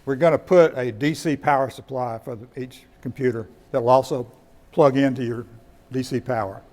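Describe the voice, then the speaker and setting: A man's voice: mid-range voice, steady tone, moderate pace, a little mellow. A man presenting informally at a meeting, explaining in a conversational way and often repeating words as he goes. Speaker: presenter of radio kits at a club meeting. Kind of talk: informal presentation with audience questions. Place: indoor meeting room.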